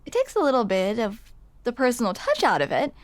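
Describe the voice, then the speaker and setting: A woman's voice: on the high side, huge pitch swings, a little fast, no echo. A woman playing a grumpy but smitten barista in an intimate ASMR roleplay, hesitant, teasing and self-deprecating, close to the microphone. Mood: wry, softly explaining. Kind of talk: ASMR roleplay, intimate one-sided conversation. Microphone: close microphone, dry.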